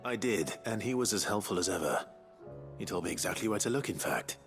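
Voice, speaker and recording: A calm leader of outcasts in a fantasy game. His voice is very low and moves freely in pitch, with a dry, understated delivery. Music plays clearly behind him. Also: a little fast. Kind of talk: video game voice acting.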